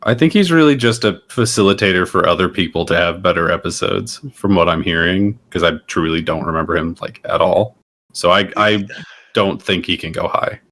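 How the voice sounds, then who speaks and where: A man's voice: low voice, a little fast, a bit bright. A man chatting casually as a podcast panelist, speaking in a blunt, offhand way. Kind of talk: casual chat.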